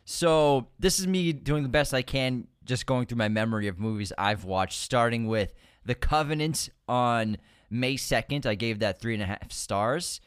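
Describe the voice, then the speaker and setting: A young man co-hosting a casual film podcast, talking in quick excited bursts and laughing often. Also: medium pitch, clean tone, no echo, studio quality. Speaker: podcast co-host. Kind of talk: casual chat.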